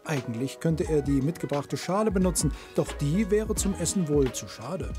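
A man reading a scripted TV report narration in a smooth, measured way. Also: fairly high pitch, expressive. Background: soft music.

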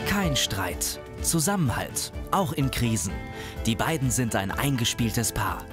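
A man reading a scripted documentary voice-over in a measured, lightly wry delivery. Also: mid-range voice, very animated. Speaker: documentary narrator. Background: music.

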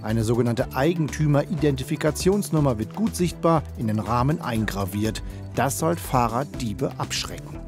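A man narrating a local news report as voice-over, with lively, varied intonation. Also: mid-range voice, moderate pace, over music.

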